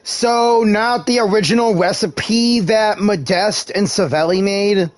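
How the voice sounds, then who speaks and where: A man's voice: high-pitched, a little slow. A man streaming a video game, giving casual, offhand live commentary with playful interjections. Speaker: gaming streamer. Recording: game music behind the voice.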